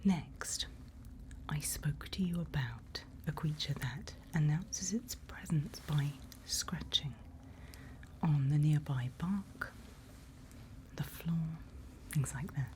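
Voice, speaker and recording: A woman playing a calm forest night-watch guide in an ASMR roleplay, whispering soothing, unhurried instructions close to the microphone. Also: low voice, a little hiss or hum. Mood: calm, hushed.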